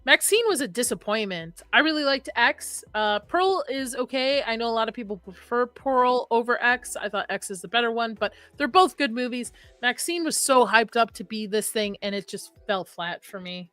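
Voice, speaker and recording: Chatty bright-voiced woman streaming live. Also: fairly high pitch, lively intonation, normal speed, few pauses, dry recording, faint music behind. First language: Arabic. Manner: chatty, rambling, laughs often. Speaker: horror livestream host. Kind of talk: casual livestream chat reading comments.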